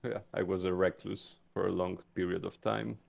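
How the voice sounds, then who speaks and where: Very deep, gravelly male player. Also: little pitch movement, moderate pace, darkish tone. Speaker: tabletop game player. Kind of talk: casual roleplay chat.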